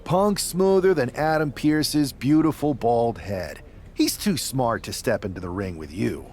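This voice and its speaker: A man's voice: mid-range voice, expressive, measured pace, darkish tone, slight background noise, lightly acted. A man playing a wry, teasing team leader in a video game cutscene, with playful sarcasm.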